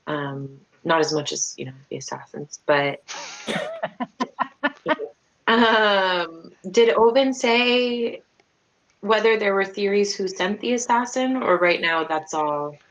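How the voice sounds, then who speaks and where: A woman's voice: mid-range voice, slow pace, a bit bright, low-quality recording. A woman playing a tabletop game online, chatting casually with a tentative, questioning manner. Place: online voice call.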